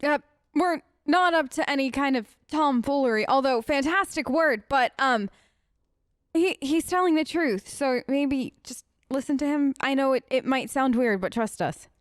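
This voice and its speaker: A woman let's player improvising in character over a game, conversational, gentle and reassuring, pausing as she finds her words. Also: sits high, dry recording, clean studio sound.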